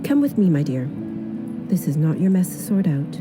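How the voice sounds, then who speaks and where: A commanding vampire lady in a story game. Her voice is on the low side, protective yet firm, with clear music behind it. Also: very animated, moderate pace. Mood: soothing, firm. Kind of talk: video game voice acting.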